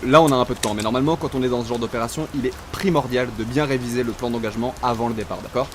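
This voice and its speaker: A man's voice: mid-range voice, racing, noisy background, natural voice. A man playing an irritable, exasperated mad-scientist boss in an audio drama, curt and impatient. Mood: brisk. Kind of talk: audio fiction acting.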